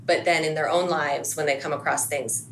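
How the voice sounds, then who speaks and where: A woman's voice: low voice, steady tone, moderate pace, creaky. A middle-aged American woman, a podcast guest speaking in a casual, reflective conversational style. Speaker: podcast guest, school assistant principal. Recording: faint background noise.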